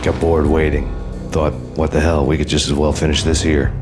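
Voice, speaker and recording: An actor playing a world-weary, framed man, narrating a noir video game in a brooding, deadpan, sardonic way. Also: very deep voice, normal speed, over music. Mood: dry, sardonic.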